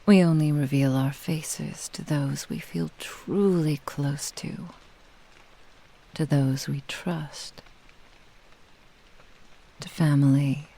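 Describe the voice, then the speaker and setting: A woman voicing a vain, possessive kitsune witch in an intimate ASMR roleplay, speaking in a breathy, lingering whisper. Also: low voice, mellow, exaggerated voice, low-quality recording. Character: vain possessive seductive female nine-tailed kitsune witch. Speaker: roleplay audio voice actor.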